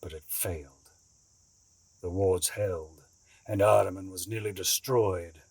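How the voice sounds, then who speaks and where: Slow, husky, menacing cult fanatic. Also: male voice, low-pitched, fairly even intonation, darkish tone, no room sound, heavily acted. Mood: fervent, grave. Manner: deliberate, theatrical, condescending. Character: cunning, menacing escaped asylum inmate, calm cult fanatic. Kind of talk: audio drama acting.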